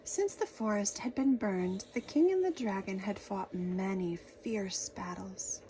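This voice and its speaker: Female children's storyteller, very slow delivery, expressive and dramatic, slight background noise. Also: mid-range voice, rough recording. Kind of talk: expressive read-aloud narration for children.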